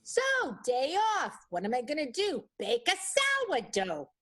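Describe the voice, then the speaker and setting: A woman's voice: fairly high pitch, very animated, a little slow, over music. A woman playing a breezy, clueless, chirpy showgirl musing to herself in an improvised radio comedy.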